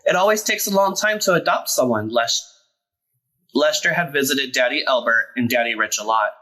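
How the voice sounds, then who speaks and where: Bright-voiced man reading a children's story. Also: mid-range voice, normal speed, poor audio. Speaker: storyteller, drag performer. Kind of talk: children's picture book read-aloud.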